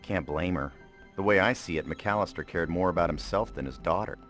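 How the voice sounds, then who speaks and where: An actor playing a wry, exasperated adventurer in a video game cutscene, with a dry, sarcastic manner. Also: male voice, low voice, fairly quick, fairly bright tone, faint music behind.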